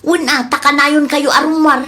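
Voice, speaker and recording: A woman's voice: high-pitched, steady tone, moderate pace, bright, forceful tone. A woman playing a nagging, exasperated wife in a comedy audio drama.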